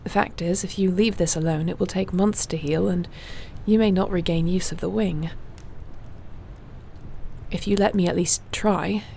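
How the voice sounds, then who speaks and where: A woman acts out a gentle fantasy warrior woman with a lowish voice full of heavy creak. She is soothing and wry, speaking intimately as if to one listener in an audio roleplay. There is faint noise behind her. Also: fairly even intonation, moderate pace. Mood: tender, concerned.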